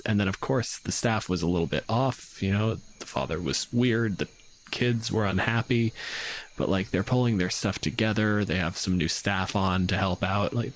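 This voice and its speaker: A man's voice: fairly low pitch, poor audio. A man working as game master, narrating a tabletop game casually with informal phrasing and some swearing.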